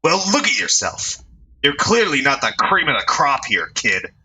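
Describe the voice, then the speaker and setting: Guy doing a cocky reporter type, mouthy, taunting, bright edgy tone. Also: mid-range voice, big pitch swings, breathy edge, voice pushed up, heavily acted, low-quality recording. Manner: taunting, fast-talking.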